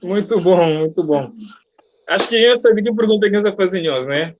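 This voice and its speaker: A man's voice: high voice, lively intonation, normal speed, lo-fi sound, slight background noise. A man with a Cape Verdean accent hosting a casual live chat in a jovial manner. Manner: jovial, reads out viewer comments. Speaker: interviewer, host.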